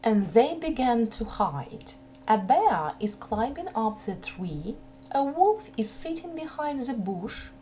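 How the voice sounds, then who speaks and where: A woman reading a folk tale for children in a deliberate, phrase-by-phrase way. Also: on the high side, sing-song, dark tone, rough recording. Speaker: storyteller. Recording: noticeable background hum.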